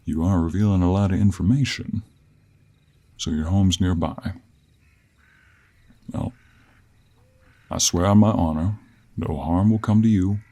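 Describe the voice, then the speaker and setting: A man voicing a confident, sly cowboy monster hunter with a gravelly voice and an American Western accent in a fantasy audio roleplay. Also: low voice, a little slow, long pauses, warm tone. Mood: sly, polite.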